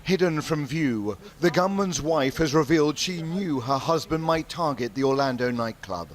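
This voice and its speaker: A man narrating a news report calmly and gravely, also interviewing witnesses. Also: on the high side, normal speed. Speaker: foreign correspondent.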